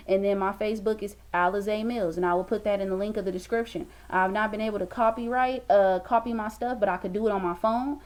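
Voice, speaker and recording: A woman's voice: mid-range voice, darkish tone. A woman in her twenties giving an emphatic, preachy motivational talk to a webcam in a small room, repeating lines for stress. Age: 20s. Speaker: motivational vlogger.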